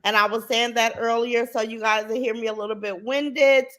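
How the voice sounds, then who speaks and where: A woman's voice: fairly high pitch, steady tone, normal speed. A woman hosting a casual livestream reaction, greeting viewers one by one and calling them baby and sis, her voice stuffy and congested from a cold. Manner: warm sing-song greetings, calls viewers baby and sis.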